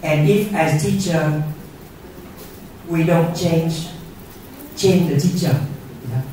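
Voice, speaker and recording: A man's voice: on the high side, slow pace, a little mellow, a little hiss or hum. A middle-aged man whose first language is Vietnamese gives an earnest conference talk and keeps asking the audience questions with a tag of "yeah?". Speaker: conference speaker. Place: university auditorium. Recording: roomy auditorium sound from far off.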